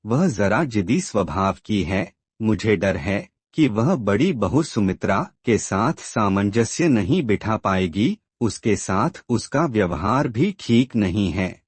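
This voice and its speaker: A man playing an ailing, gentle old father in a cartoon moral story, earnest and emotive. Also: fairly low pitch, very animated, takes it slowly, hardly any pauses, husky, no echo, heavily acted. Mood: worried.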